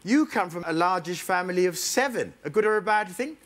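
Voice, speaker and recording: Male quizmaster, a bit high-pitched, playful, doubles as show narrator. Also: lively intonation, fairly quick, a bit bright. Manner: playful, teasing banter. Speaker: quiz show host. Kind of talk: scripted narration and quizmaster questions.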